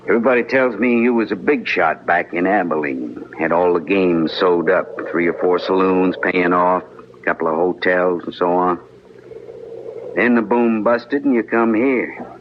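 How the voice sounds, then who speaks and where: Casting: male actor for hired killer, radio western. Deep, terse, rustic drawl, menacing, on a noisy old broadcast. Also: normal speed, natural voice. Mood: cold, goading. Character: menacing hired gunman from the cattle country. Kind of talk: 1950s radio western acting. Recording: noisy dull vintage radio recording.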